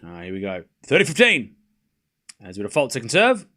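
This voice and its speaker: A man hosting a livestream, giving excitable live tennis commentary in short clipped phrases, mixed with casual chat. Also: fairly low pitch, fast.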